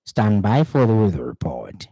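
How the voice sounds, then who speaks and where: A man with a Zambian accent reading a radio news bulletin in a measured, formal newsreader delivery. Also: on the low side, big pitch swings, gravelly, warm tone, slightly rough, no room sound, clean studio sound. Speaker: news anchor. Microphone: studio microphone.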